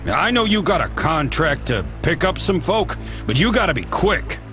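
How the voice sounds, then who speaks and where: An actor playing a brisk security officer at a checkpoint in a video game. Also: male voice, fairly high pitch, sing-song, over music. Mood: stern, warning.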